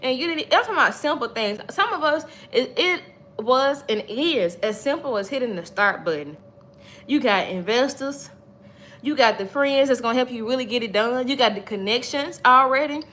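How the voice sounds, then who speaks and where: A woman's voice: high voice, animated, moderate pace, bright, forceful tone, slight background noise. A woman in her thirties giving an emphatic, repetitive faith-based motivational talk to livestream viewers. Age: 30s. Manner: preachy, emphatic, repeats points, chats with viewers. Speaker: livestream host.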